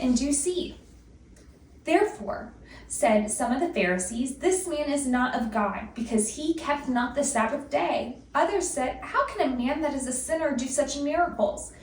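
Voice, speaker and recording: Echoey, distant woman reading scripture slowly. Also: fairly high pitch. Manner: measured, deliberate recitation. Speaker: scripture reader. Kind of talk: formal scripture reading from an old-fashioned translation. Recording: distant mic, echoey room, faint noise.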